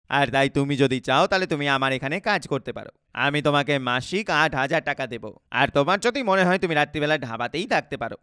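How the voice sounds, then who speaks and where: A man's voice: mid-range voice, sharp tone, clean tone, dry recording, clean studio sound. A man playing a brusque, bossy eatery owner in a cartoon story, speaking emphatically. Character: male roadside eatery owner, brusque, bossy, scheming employer. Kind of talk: dramatic cartoon story dialogue.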